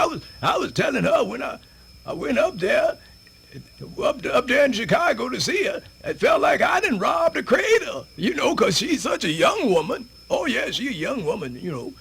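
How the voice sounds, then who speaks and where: An actor playing a spirited, folksy elderly man with a Southern US accent, rambling and stammering through a radio theatre monologue in a raspy voice. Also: a bit bright, heavily acted. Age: older. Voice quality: raspy, creaky, high-pitched.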